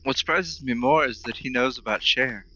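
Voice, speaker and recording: A man's voice: mid-range voice, normal speed, pushed and bright, highs cut off, slight background noise. A man in his thirties chatting on a friend's game stream, talkative and hesitant with fillers. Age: 30s. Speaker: co-host friend. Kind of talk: casual gaming stream chat.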